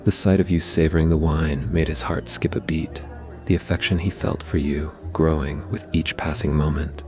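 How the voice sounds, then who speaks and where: A man's voice: a bass voice, fairly even intonation, moderate pace, a little mellow, soft background music. A man narrating a scripted romantic ASMR story.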